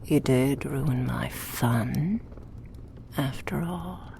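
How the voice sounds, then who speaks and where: A woman playing a cruel, possessive demonic dark mage in a menacing, formal ASMR roleplay, breathy and gravelly. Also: extremely low pitch, slight background noise, heavily acted. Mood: mocking. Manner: menacing, taunting, formal and deliberate. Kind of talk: roleplay ASMR, villain monologue.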